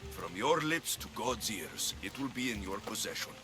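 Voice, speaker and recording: A man's voice: lowish voice, lively intonation, normal speed, music in the background, close to an everyday voice. An actor playing a cocky, sardonic male mercenary in a video game cutscene. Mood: dry teasing. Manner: dry, sardonic, bargaining. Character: cocky, wry male mercenary in ancient Greece.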